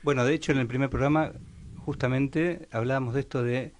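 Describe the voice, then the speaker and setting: A man with an Argentine accent on a casual radio talk show, speaking hesitantly and filling his pauses with many verbal fillers. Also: medium pitch, fairly even intonation, a little hiss or hum. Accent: Argentine Spanish.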